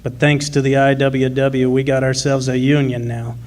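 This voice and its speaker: A man plays a gruff union miner in a radio play, speaking in folksy working-class phrases. His pitch stays level and narrow, proud and plainspoken. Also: flat delivery, normal speed, darkish tone, lightly acted. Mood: earnest, persuasive. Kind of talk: live original radio drama.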